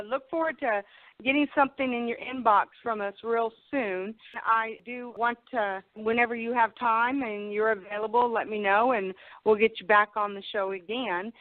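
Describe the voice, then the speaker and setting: A woman hosting a talk show in a casual, earnest, advising conversational style. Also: fairly high pitch. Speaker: show host. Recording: narrow phone-like radio sound.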